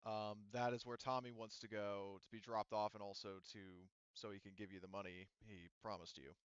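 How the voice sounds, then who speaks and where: A man's voice: lowish voice, level tone, moderate pace, clear, resonant tone, dry recording, lo-fi sound. A man running a tabletop roleplaying game as game master, talking casually and thinking aloud in a rambling way.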